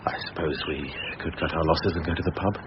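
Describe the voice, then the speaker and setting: An actor playing an earnest, anxious son-in-law in a radio drama, talking hesitant, touchy-feely therapy-speak. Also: male voice, a bass voice, fairly quick, dull audio, background noise. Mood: crushed, polite.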